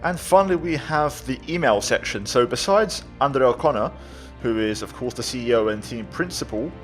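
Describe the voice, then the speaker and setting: A man's voice: mid-range voice, animated, moderate pace. Man commentating casually over faint music. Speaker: gaming video host. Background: faint game music.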